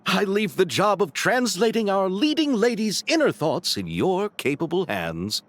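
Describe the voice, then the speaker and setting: A man's voice: high-pitched, very animated, measured pace, a little hiss or hum. A man playing an enthusiastic, courteous, flamboyant film crew leader in a video game, with formal phrasing.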